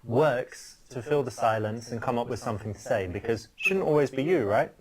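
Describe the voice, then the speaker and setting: An actor plays a confident male dating coach in a video game, explaining how to handle a date in a steady, instructive way. A crowd murmurs clearly behind him in the game audio. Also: medium pitch, brisk, lightly acted. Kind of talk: scripted game narration.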